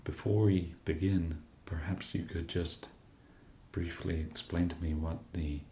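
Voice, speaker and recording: An English man in an ASMR roleplay as a shop genius, speaking softly and hesitantly with many fillers and a dry, self-deprecating humour. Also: deep voice, fairly even intonation, a little slow, reverberant, slight background noise. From England.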